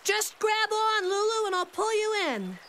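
A woman's voice: extremely high pitch, sing-song, unhurried, a little hiss or hum, heavily acted. A woman voicing a chubby, scheming little cartoon boy who talks in a grandiose, hammy way. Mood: scheming, heroic. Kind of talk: cartoon voice acting. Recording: loud cartoon music underneath.

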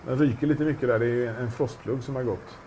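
A man's voice: mid-range voice, steady tone, dark tone, a little hiss or hum. A man casually chatting as an auction presenter, rambling with many restarts and fillers. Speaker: auction specialist presenting a car. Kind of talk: casual chat.

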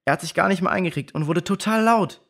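A man's voice: high-pitched, fast, creaky, fairly bright tone, exaggerated voice. A man playing an honest, contrite boy in a children's audio drama.

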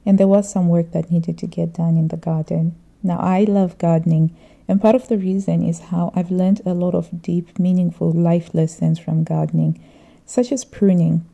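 A middle-aged woman narrating a reflective vlog voice-over in a measured, earnest way. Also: lowish voice, steady tone, creaky, warm tone, muffled recording. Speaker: vlogger.

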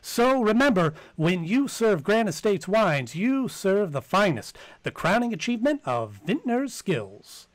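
High-pitched, animated older radio announcer man. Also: a little slow, hardly any pauses, no echo. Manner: emphatic, formal salesmanship. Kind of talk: old-time radio announcing and sponsor reads. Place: home office, on a video call.

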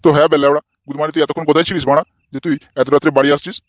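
Man as bossy old father-in-law, cartoon, foul-mouthed, very rapid, slightly high-pitched. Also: level tone, no room sound, close to an everyday voice. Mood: angry, scolding. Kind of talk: comic cartoon dialogue.

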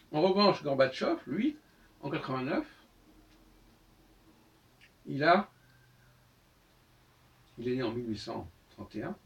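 Older man lecturing from a distance. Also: mid-range voice. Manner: halting, explanatory, many pauses and restarts. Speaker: astrologer giving a talk. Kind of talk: solo lecture to camera. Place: book-lined room indoors. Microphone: far camera on a tripod.

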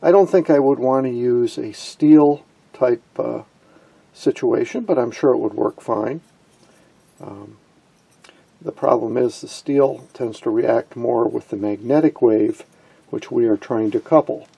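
Guy doing a casual electronics how-to, relaxed mellow raspy voice, explains stuff step by step. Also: mid-range voice, a little slow. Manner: informal, explanatory, posing questions then answering. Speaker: electronics hobbyist presenter. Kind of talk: hands-on tutorial explanation.

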